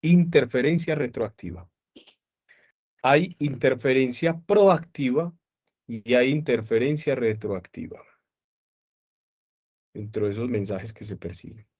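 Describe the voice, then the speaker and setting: A man teaching a psychology class online, explaining at an unhurried pace and often checking that his students follow. His voice sits in a middle pitch. The sound is dull and lo-fi, as if picked up by a computer microphone on a video call. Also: a little mellow. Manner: explains slowly with repeated questions to students.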